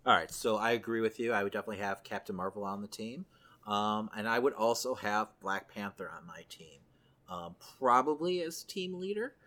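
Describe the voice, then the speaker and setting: Casual, bright-voiced male podcast co-host. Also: lowish voice, fairly quick. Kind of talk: casual chat about comic book films.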